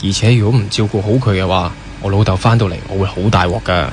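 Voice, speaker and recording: A man's voice: on the low side, fairly even intonation, quick pace, a little hiss or hum, close to an everyday voice. A young man with a Hong Kong Cantonese accent playing a caring, wry older brother in a naturalistic radio drama.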